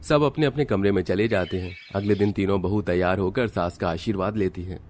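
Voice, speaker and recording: A man narrating a cartoon story in a steady, plain way. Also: low voice, brisk, faint music behind.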